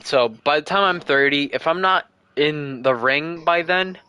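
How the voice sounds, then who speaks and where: A man's voice: on the high side, measured pace, pushed and bright, clear, resonant tone, lo-fi sound. A man in his early twenties answering questions on a livestream, earnest and rambling, repeating himself as he thinks aloud. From United States. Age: 20s. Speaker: streamer. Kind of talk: casual livestream Q&A.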